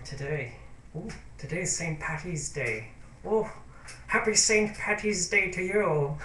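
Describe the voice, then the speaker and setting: A man's voice: on the high side, a bit bright, recorded from a distance, a little hiss or hum. A man talking straight to camera in a casual diary vlog. He rambles hesitantly in a sentimental, confiding tone. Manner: rambling, hesitant, full of fillers and restarts. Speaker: YouTube vlogger. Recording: roomy echo.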